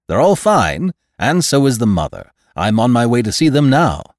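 An exuberant old doctor acted out by a male narrator lectures in grand, emphatic style. His pitch climbs and leaps as he preaches order like a pompous storybook orator, in a dry, close sound. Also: very animated, moderate pace, no echo. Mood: brisk, hearty.

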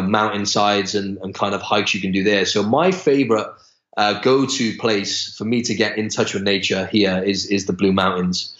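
A young man chats as a podcast guest in a fairly low voice, stumbling through restarts and fillers. His voice rings around an echoey room, and the recording sounds a little dull. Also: fairly bright tone. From Wales. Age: young adult. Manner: chatty, many restarts and fillers. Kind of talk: casual interview chat.

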